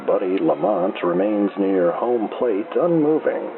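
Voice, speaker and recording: Casting: male actor as deadpan small-town baseball radio caller, low and unhurried, lively melodic swings, heard through crackly narrow-band AM. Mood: deadpan calm. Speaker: baseball radio play-by-play announcer. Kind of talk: slow old-time baseball radio play-by-play. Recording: noisy, thin telephone-like AM radio sound.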